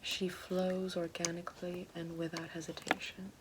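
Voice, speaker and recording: A woman playing a calm, gentle witch in an ASMR roleplay, whispering slowly and soothingly right at the mic and repeating soft praise. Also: fairly low pitch, natural voice. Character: calm gentle female witch guiding elements. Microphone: close binaural-style mic. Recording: noisy hiss behind voice.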